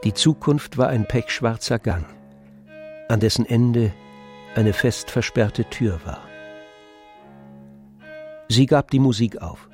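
Casting: male literary narrator for radio play, medium register, composed and measured, set against musical backing. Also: medium pitch, darkish tone, faint music behind.

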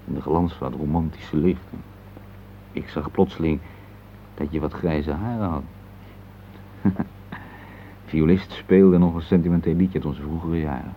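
An actor playing a reflective, tender middle-aged husband in a radio play, hesitant and searching for words as he speaks. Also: deep voice. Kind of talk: intimate radio play dialogue. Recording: muffled phone-like old radio recording, hissy.

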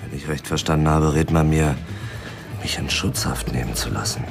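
A man's voice: rumbling low, normal speed, vocal fry, soft background music. An actor in a German radio crime drama playing a weary, exasperated hard-boiled man in trouble, speaking colloquially.

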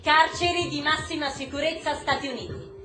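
A woman's voice: high voice, brisk, lightly acted. An actress playing a skeptical, indignant woman researcher in a theatrical radio drama. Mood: focused, muttering. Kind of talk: stage monologue in a radio broadcast play. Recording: roomy echo with music underneath.

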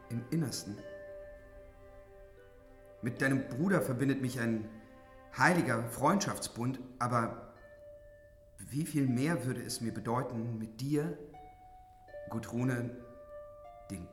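An actor playing a bold, open-hearted young hero in a fantasy radio drama, with an earnest, heroic manner. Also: male voice, medium pitch, big-room sound, natural voice. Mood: smitten.

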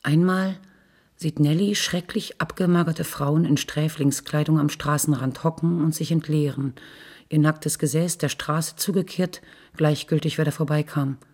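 A woman's voice: deep voice, fairly even intonation, normal speed, darkish tone, breathy edge. A woman narrating literary prose in a radio play.

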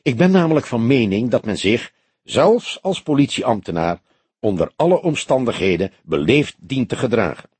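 Man playing a wry, lively-voiced old detective. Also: medium pitch, breathy edge, dry recording. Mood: cheerful. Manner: courteous, measured, gently mocking. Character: polite, wry old police detective, calm and solemn. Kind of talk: audiobook character dialogue.

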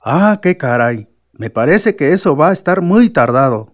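Actor as a highish-voiced Mexican villager, skeptical. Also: male voice, sing-song, normal speed. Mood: doubtful. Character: plainspoken, barely literate village man, skeptical. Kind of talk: radio soap opera acting.

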